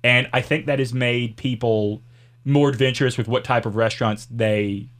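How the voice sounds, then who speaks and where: A man's voice: medium pitch, steady tone, normal speed, a bit bright. A middle-aged man with a mild Southern American accent, hosting a casual podcast interview, chatty and rambling, laughing often. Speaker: podcast host.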